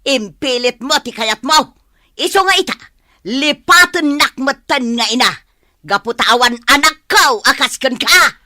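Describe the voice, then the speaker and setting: A woman acts a middle-aged village mother in an Ilocano-accented radio drama. Her voice is high and piercingly sharp, with a dry, close sound. She is bitter, reproachful and unforgiving. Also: lively intonation, measured pace, no echo, full character voice.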